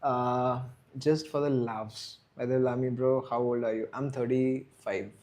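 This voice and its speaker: Male streamer, 30s, mid-pitched, loose rambling chat with viewers. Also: steady tone, a little slow, darkish tone. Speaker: livestream host. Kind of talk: casual live stream chat.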